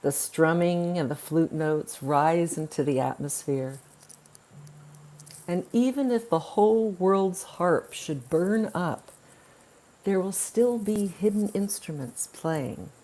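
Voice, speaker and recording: Slow, low-pitched woman reciting poetry. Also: lively intonation, mellow. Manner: measured, deliberate phrasing with long pauses. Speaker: poetry reader. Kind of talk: spoken-word poetry recital.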